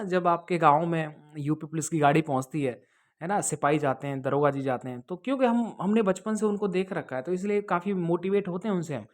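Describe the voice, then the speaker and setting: A man answering viewers' questions in a casual, advising way, often checking that the listener follows. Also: high voice. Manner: conversational, advising, often checks with "okay?" and "understood?". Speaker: host answering viewers' questions about police jobs. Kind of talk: casual explanatory Q&A to camera.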